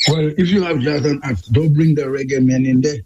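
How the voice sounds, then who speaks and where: A man's voice: a little high, steady tone, fairly quick, highs cut off, noisy background. A man with a Saint Lucian accent calling in to a radio show, talking emphatically and repeating himself as he complains. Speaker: radio caller. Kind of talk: call-in radio chat.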